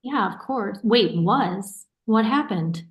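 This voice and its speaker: A woman's voice: medium pitch, animated, slow pace. A woman acting in a naturalistic play reading as a caring, teasing and watchful sister. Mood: concerned. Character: caring, teasing, suspicious sister of a young man. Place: remote online staged reading.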